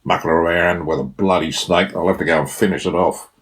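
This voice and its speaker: A man acts out a gruff rural Australian father from a short story. He is blunt and rough-edged, a low male voice. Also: fairly even intonation, brisk, a bit bright. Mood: irritated.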